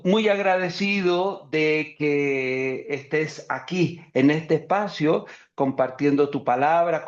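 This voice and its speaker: Slow-talking male host, lo-fi audio. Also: fairly high pitch. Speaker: interview host. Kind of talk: video call interview. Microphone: video call. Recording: dull lo-fi call audio.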